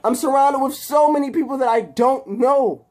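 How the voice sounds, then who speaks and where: A man's voice: high-pitched, big pitch swings, takes it slowly, a little mellow, voice pushed up, heavily acted. A man voicing an unhinged young rich heir turned villain, speaking intensely in emphatic, ranting phrases. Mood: frantic, agitated.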